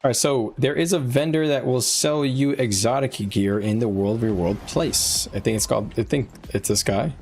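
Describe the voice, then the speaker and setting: A man's voice: mid-range voice, normal speed, hardly any pauses, darkish tone. A man talking informally as a game streamer, thinking aloud as he gives viewers gameplay advice in a conversational way. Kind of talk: casual live-stream chat, giving gameplay advice to viewers. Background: music.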